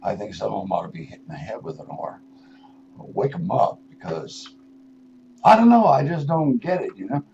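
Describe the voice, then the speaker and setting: An older man, in his sixties or beyond, hosting a radio show with a rambling spiritual monologue, musing and halting, then leaning into words for emphasis. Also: fairly low pitch, sing-song, vocal fry. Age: 60s or older. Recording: dull lo-fi radio audio with noise.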